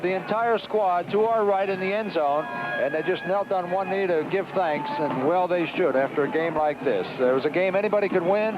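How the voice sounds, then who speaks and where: An American man calling a live football game play by play on the radio, in a muffled, noisy 1970s broadcast recorded at an outdoor stadium. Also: high-pitched. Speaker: play-by-play sports announcer.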